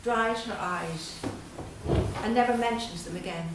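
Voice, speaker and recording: Middle-aged Irish woman, guest poet, measured and unhurried reading, loose and chatty between pieces; picked up far from the mic in a very echoey room. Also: mid-range voice, heavy background noise. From Dublin, Ireland. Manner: slow, measured reading with pauses; chatty and hesitant between poems. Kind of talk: poetry reading at a literary evening. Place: event room at a literary gathering.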